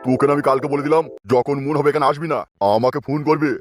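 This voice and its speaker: A man playing a bossy, lecherous village elder in a comic cartoon, with gruff, commanding colloquial speech. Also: fairly high pitch, level tone, quick pace, faint music behind, lightly acted. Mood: menacing. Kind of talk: exaggerated comic cartoon dialogue.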